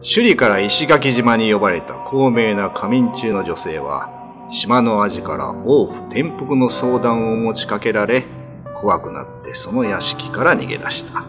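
A man's voice: lowish voice, lively intonation, a little slow, music in the background. A man reading scripted narration for a classic ghost story radio drama.

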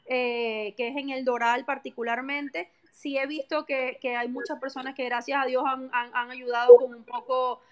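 A woman's voice: sits high, flat delivery, moderate pace, hardly any pauses. A woman, a real estate agent, chatting as a guest on a live stream in a friendly way, with many fillers. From Venezuela. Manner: friendly, many fillers and restarts, laughs easily. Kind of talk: casual interview chat.

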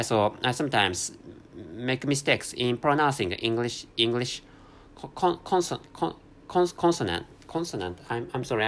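A man with a Japanese accent talks alone into his podcast mic from a hotel room. His English comes slowly and haltingly, full of repeated words, false starts and careful self-corrections, at an ordinary middle pitch. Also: slight background noise. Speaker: podcast host. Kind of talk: unscripted solo podcast monologue.

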